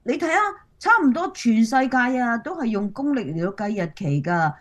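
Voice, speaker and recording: A woman's voice: a little high, big pitch swings, fairly quick. A woman playing a chirpy, curious schoolchild in a Cantonese radio drama. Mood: eager, cheerful. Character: eager curious schoolchild visiting grandparents.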